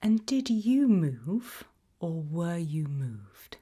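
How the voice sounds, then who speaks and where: A British woman whispers in a low, mellow, breathy register. She takes her time, putting careful, calm questions as an interviewer in an ASMR roleplay. The delivery is soft and measured throughout. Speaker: ASMR roleplayer playing an abduction investigator.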